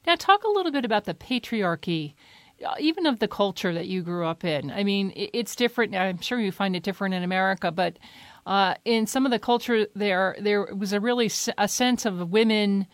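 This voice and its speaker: A woman hosts an interview, close to the mic in a dry, dead room. She sets up each question with a measured, leading prompt. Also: medium pitch, lively intonation, no room sound.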